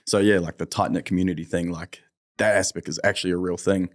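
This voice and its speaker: A man's voice: low-pitched, fairly even intonation, fast. A man in his thirties with a New Zealand accent, a podcast guest chatting casually, laid-back and swearing freely. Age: 30s.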